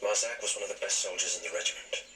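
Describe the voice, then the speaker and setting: An actor playing a guarded, dryly teasing musketeer soldier in a period TV drama, speaking tersely. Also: male voice, deep voice, fairly even intonation, racing, sharp tone, slight background noise. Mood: wry, evasive. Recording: roomy, distant TV playback with music.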